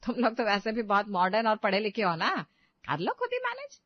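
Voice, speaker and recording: A woman's voice: medium pitch, huge pitch swings, brisk, no room sound, highs cut off. A woman voicing a defiant, unbothered older mother-in-law in an audio story, sarcastic, curt and self-assured. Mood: breezy, sarcastic.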